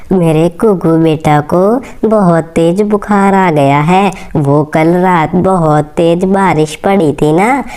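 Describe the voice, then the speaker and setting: A woman voicing a gentle, worried mother bird with a soothing, polite manner in a cartoon story. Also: fairly low pitch, clear, resonant tone. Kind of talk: Hindi moral-story cartoon dialogue.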